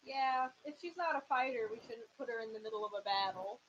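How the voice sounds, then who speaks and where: A woman joins a tabletop game over voice chat, talking casually in a fairly high voice. Her mic sounds dull and lo-fi, with a faint hiss. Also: brisk. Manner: chatty, laughs easily. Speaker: player.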